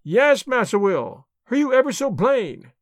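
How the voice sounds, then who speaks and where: A storybook servant, simple and obliging. His high voice rises and falls freely in folksy dialect, always literal-minded and eager to please. The sound is dry and close. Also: sing-song, unhurried, no room sound, full character voice. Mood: worried, plaintive. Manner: folksy nonstandard dialect speech.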